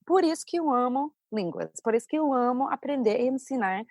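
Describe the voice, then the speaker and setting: A woman, an English teacher, talks as a guest on a podcast. She is friendly and reflective, with a slightly high voice. The sound is dry and close. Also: animated, brisk, darkish tone, no room sound. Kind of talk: casual conversation.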